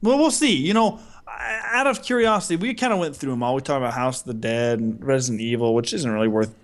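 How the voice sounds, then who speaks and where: A man's voice: mid-range voice, fast talker. A man co-hosting a podcast with casual, jokey banter and folksy mild exclamations. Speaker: podcast co-host.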